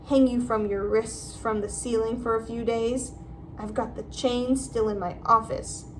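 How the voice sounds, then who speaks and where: A woman's voice: a little high, fairly even intonation, measured pace, a little hiss or hum, close to an everyday voice, rough recording. A woman voicing a nasty, gleeful old male school caretaker in a storybook. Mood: gloating menace. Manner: leering, menacing.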